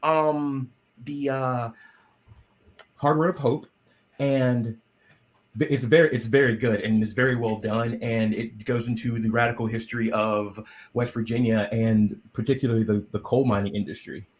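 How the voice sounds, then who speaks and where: A man's voice: medium pitch, steady tone, normal speed. Rambling man hosting an interview. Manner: rambling, filler-heavy, enthusiastic. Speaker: podcast host. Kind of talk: casual video-call interview. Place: home room indoors. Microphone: headset on a video call.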